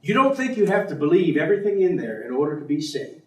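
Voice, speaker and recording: A man's voice: a little high, big pitch swings, fast talker. An actor playing a learned, skeptical male professor in a staged play reading, speaking tersely and formally. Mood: skeptical, probing. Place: theatre stage. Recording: echoey stage recording from far off.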